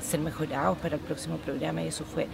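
A woman's voice: sits low, flat delivery, fast, very noisy. A middle-aged woman, explaining how a programme was run, in an interview. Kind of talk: documentary interview testimony. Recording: clear background hubbub of people.

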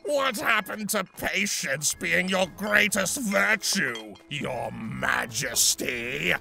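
Gravelly, sardonic centaur villain. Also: male voice, high voice, animated, slow, exaggerated voice. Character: gruff, grudging male centaur villain, wary and sardonic. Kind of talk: animated-series fan fiction audio drama. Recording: music under the voice.

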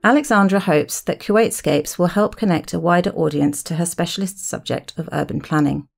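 A woman's voice: on the low side, fairly quick, creaky. A woman presenting a podcast, with scripted narration and interview questions.

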